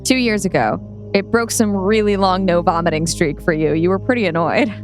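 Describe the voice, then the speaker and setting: A woman playing a warm, playful wife who is a brain researcher, in a script read-through. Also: lowish voice, brisk, close to an everyday voice. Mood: gentle, matter-of-fact. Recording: music playing behind.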